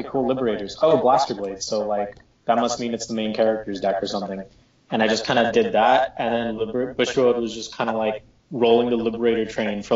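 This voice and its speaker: A young man in his twenties talks in a laid-back, slangy way during a casual group chat. His voice sits at an ordinary pitch. It is picked up from a distance in a very echoey room, and the sound is dull. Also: darkish tone. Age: 20s. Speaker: co-host.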